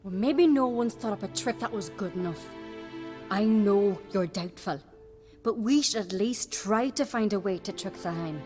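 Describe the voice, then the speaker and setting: An actress playing a clever, determined young noblewoman who speaks in a brisk, confident, wry way. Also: mid-range voice, music in the background, close to an everyday voice.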